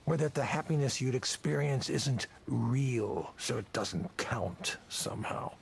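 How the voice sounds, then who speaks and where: An actor playing a jaded, whiskey-drinking tech visionary in a visual novel, speechifying persuasively in a ragged, creaky voice. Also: male voice, medium pitch, a little slow, slight background noise. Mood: musing, persuasive. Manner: speechifying, persuasive, world-weary. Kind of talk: dramatic visual novel voice acting.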